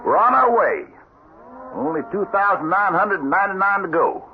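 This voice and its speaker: An actor playing a folksy middle-aged cattle-drive boss in a radio western, commanding, with an American Western accent. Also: male voice, high voice, lively intonation, moderate pace. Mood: brisk, hearty. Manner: folksy, commanding cowboy speech. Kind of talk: old-time radio western acting. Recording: tinny vintage radio, noisy, cattle behind.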